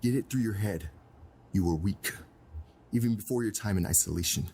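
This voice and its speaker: Deep-voiced, cold, domineering crime boss played by a man. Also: natural voice. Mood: stern, menacing. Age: middle-aged. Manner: formal, deliberate, menacing. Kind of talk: dramatic film-style acting. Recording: clearly noisy background ambience.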